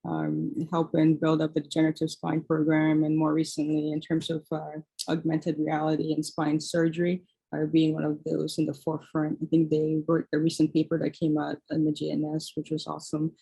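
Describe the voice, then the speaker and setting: A woman's voice: deep voice, monotone, brisk, gravelly, dark tone, breathy edge, low-quality recording. A woman introducing a guest speaker on a webinar, speaking with frequent fillers. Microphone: video call.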